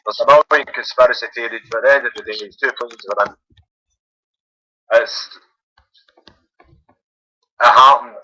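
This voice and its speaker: A man's voice: mid-range voice, fairly even intonation, measured pace, long pauses, bright, forceful tone, highs cut off. A man from Glasgow with a Glaswegian accent, calling in to a phone-in and chatting casually in loose run-on phrases. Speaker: caller.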